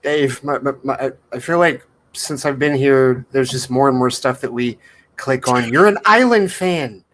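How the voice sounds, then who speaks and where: A man chatting casually as a podcast guest, starting haltingly and repeating words as he gets going. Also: mid-range voice, a bit bright. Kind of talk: casual film chat. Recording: faint background noise and ambience.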